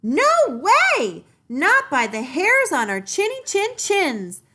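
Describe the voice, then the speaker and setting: A woman voicing a small frightened male piglet with high, squealing cries. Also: huge pitch swings, slow pace, sharp tone, full character voice. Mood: defiant.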